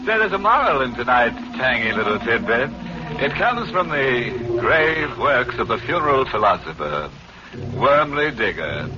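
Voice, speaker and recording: Macabre horror host, theatrical, gleeful, droll, 1940s radio, dull noisy recording. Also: male voice, medium pitch.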